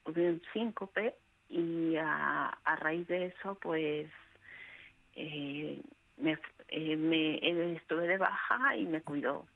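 A woman with a Peruvian accent calling a radio show, telling her personal story in a hesitant, halting way. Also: low voice, vocal fry. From Peru. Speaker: radio caller. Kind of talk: personal account told on air. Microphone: telephone. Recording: band-limited phone call to radio.

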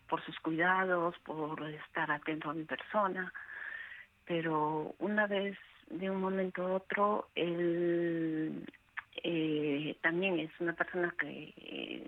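A woman with a Peruvian accent calling a radio show, telling her personal story in a hesitant, halting way. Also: lowish voice. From Peru. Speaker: radio caller. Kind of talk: personal account told on air. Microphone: telephone. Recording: band-limited phone call to radio.

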